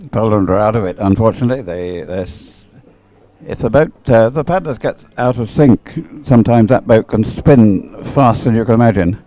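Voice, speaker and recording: A man's voice: fairly low pitch, moderate pace, warm tone. A man giving live, off-the-cuff race commentary in a conversational, matter-of-fact way.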